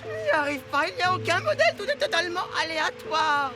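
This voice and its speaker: Excitable high-pitched eccentric professor. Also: male voice, fast, over music. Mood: despairing. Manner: theatrical, excitable, muttering. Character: eccentric, slovenly genius professor, easily frazzled. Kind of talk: French-dubbed cartoon acting.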